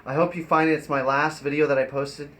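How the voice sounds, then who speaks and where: A man hosting a casual, improvised live stream, talking in a rambling, halting way and giving directions between remarks. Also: fairly high pitch, steady tone, fairly bright tone. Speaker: live stream host and performer. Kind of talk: casual improvised livestream chat. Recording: echoey room, noisy live stream audio.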